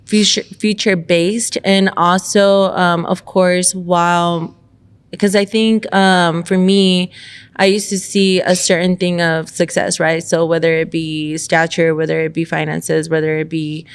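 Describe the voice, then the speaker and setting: Low-voiced, clear-toned woman from El Salvador. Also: little pitch movement, unhurried. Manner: reflective, many fillers and restarts. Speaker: podcast co-host. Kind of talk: faith-based casual chat.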